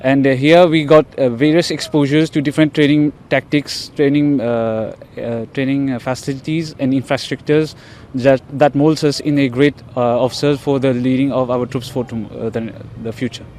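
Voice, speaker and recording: A man, a military cadet giving a halting interview. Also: medium pitch, fairly even intonation, darkish tone, a little hiss or hum. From Mauritius. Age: 20s.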